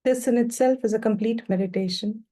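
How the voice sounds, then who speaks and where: A woman guiding a meditation as a teacher, speaking gently and without hurry in short, spaced phrases. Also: fairly high pitch. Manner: gentle, unhurried, pausing between short phrases. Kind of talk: guided meditation instruction.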